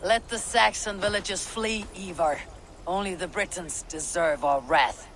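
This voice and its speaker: An actress playing a level-headed, resolute Viking war leader in a video game, terse and commanding. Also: female voice, fairly low pitch, a little slow, a bit bright, a little hiss or hum. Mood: determined. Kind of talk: dramatic video game acting.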